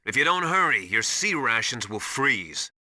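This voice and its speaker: An intense, bright-voiced military mentor. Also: male voice, mid-range voice, very animated, moderate pace, dry recording. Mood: grave, cautioning. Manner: didactic, urgent, emphatic instruction. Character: knowledgeable, commanding male military survival mentor called Master. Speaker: radio support advisor. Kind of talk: video game voice acting.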